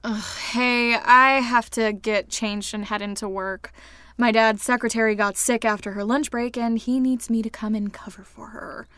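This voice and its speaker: Young woman, higher voice, dry close sound, sarcastic, casual, exasperated, audio drama. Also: fairly even intonation, normal speed, pushed and bright, smooth, dry recording. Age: young adult. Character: sardonic, exasperated young woman with sleep paralysis. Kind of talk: naturalistic audio drama acting.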